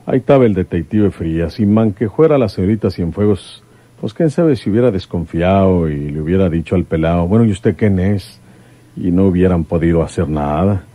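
An actor playing a plain-spoken, protective country detective in a radio drama, with a husky voice and rural northern Mexican accent. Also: male voice, low voice, animated, moderate pace, warm tone, no room sound. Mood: reassuring. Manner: folksy country talk.

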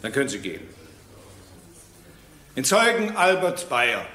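An actor playing a stern, impatient presiding judge in a radio courtroom drama, formal and probing. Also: male voice, medium pitch, big pitch swings, a little slow, bright, forceful tone. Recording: echoey courtroom-like room.

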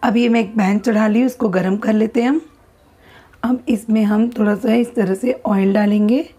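A woman talking through a recipe step by step in her home kitchen, in an unhurried, repetitive instructional way. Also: medium pitch, fairly even intonation, warm tone, breathy edge. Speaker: cooking channel host. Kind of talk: step-by-step cooking demonstration. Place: home kitchen at a gas stove.

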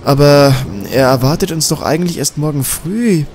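A man's voice: a little high, normal speed, a little mellow, a little hiss or hum, voice pushed up, natural voice. A man voicing a doubtful, insistent woman journalist with a mocking streak in an audiobook. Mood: uneasy. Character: sceptical woman journalist, gently mocking and uneasy. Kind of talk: audiobook dialogue.

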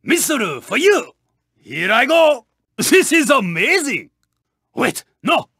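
An actor voicing a proud, intense, honour-bound racing car in a video game, with a Japanese accent, a raspy voice and clipped, formal delivery. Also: male voice, extremely high pitch, big pitch swings, slow pace, pushed and bright, dry recording, clean studio sound, heavily acted. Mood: confident, triumphant. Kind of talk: video game voice clips, short barks.

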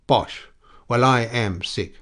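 A man voicing a furious, insolent colonial soldier in a storybook, snarling and mocking in shouted outbursts. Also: mid-range voice, slow pace. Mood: sneering, irritated. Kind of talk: dramatic storybook character reading.

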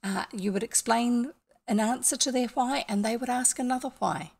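Older NZ-accented woman streamer, husky voice, rambling explainer, close mic at home. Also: fairly high pitch, moderate pace, a little mellow. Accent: New Zealand. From New Zealand. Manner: rambling, explanatory, with frequent asides and restarts. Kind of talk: casual storytelling chat. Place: small home room, indoors.

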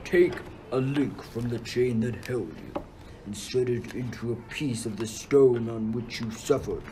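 A man's voice: medium pitch, a little slow, background noise, close to an everyday voice. A man playing a stern, vengeful king of the gods in a radio play, pompous and commanding.

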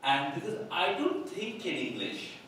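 Man, first language Hindi, chatty panelist, booming hall echo, mic at a distance, faint hum. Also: very animated, measured pace, a bit bright. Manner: chatty, jokes with the audience. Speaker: author on a panel. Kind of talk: panel discussion. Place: large hall on a festival stage. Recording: echoey hall, mic from afar.

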